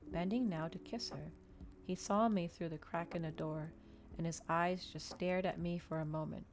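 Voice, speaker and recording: A woman streamer reading a story aloud in a soft, gentle, unhurried ASMR style, close to the microphone. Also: fairly low pitch, fairly even intonation, a little hiss or hum.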